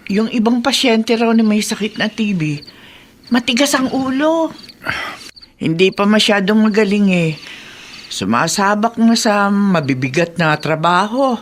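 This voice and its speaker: A worried older mother, voiced by an actress, speaks with motherly fretting and gentle scolding in radio-drama style. Her intonation rises and falls freely over faint background ambience. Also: mid-range voice, animated, measured pace, slight background noise, natural voice. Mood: fretful concern. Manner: fretful, motherly, coaxing. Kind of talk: Filipino radio drama acting.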